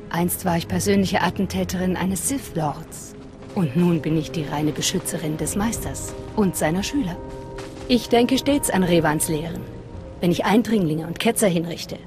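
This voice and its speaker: Devout, fanatical female Sith assassin for a game, played by a woman, lowish voice, reverent and measured, music underneath. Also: natural voice. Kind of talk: dramatic video game dialogue. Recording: prominent music behind voice.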